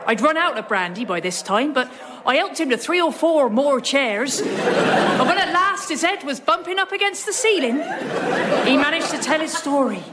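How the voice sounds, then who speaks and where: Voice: high voice, expressive, normal speed. A performer playing a jolly old landlady, telling a dramatic tale in a radio comedy sketch. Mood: dramatic, earnest. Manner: rustic, dramatic storytelling. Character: jolly old West Country innkeeper woman telling ghost tale. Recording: live audience crowd noise.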